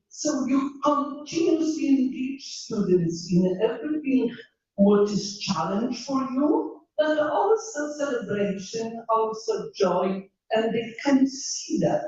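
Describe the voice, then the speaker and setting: An older woman with a Polish accent gives an earnest, unscripted talk as a professor, stressing her points and pausing to find her words. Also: a little high, darkish tone. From Poland. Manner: earnest, emphatic, searches for words. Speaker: professor speaking at a meetup. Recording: dull, echoey hall, mic far off.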